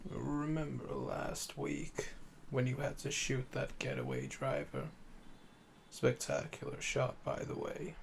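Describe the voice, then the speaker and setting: A man voicing a calm, smug, flirtatious assassin in a slow, intimate ASMR roleplay, deliberate and condescending, often dropping to a murmur. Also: medium pitch, creaky, a little hiss or hum, heavily acted. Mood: probing, admiring.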